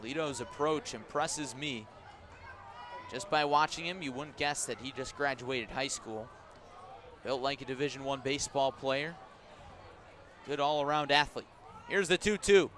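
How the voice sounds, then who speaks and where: A man doing live radio play-by-play of a baseball game, descriptive and unhurried between pitches and punchier on the action. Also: a crowd in the background, background noise. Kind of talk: live radio sports commentary.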